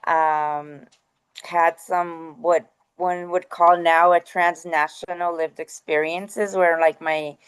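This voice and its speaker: A woman's voice: on the low side, level tone, slow pace, smooth, dry recording, low-quality recording. A middle-aged woman from the Bay Area, a college counselor, talking as a guest over a call, chatty and laughing often. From San Francisco Bay Area. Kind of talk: casual talk on a call-in show. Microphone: phone or online call.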